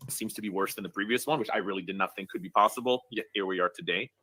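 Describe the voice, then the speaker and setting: A man's voice: low-pitched, racing, pushed and bright. A man giving sarcastic live-stream commentary on online drama.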